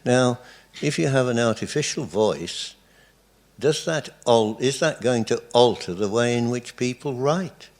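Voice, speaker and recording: A man in a lecture audience putting a question in a measured, deliberate manner. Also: mid-range voice, animated. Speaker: audience member asking a question.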